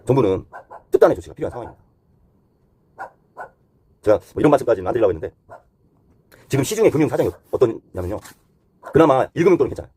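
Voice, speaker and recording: A man's voice: medium pitch, sing-song, rapid-fire, long pauses, warm tone, a little hiss or hum. A man giving unscripted explanatory commentary as a host, talking conversationally point by point and sliding between polite and blunt, casual phrasing.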